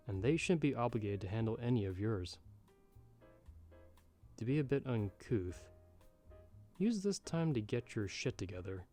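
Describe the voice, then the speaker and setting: Casting: male actor as a jaded, sardonic bartender for ASMR roleplay; dark mellow tone, mid pitch, measured and wry, music behind. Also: close to an everyday voice. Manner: measured, wry, slightly formal and old-fashioned phrasing. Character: jaded, sardonic male bartender giving frank, fatherly advice. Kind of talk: ASMR roleplay, scripted monologue to the listener. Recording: background music under the voice.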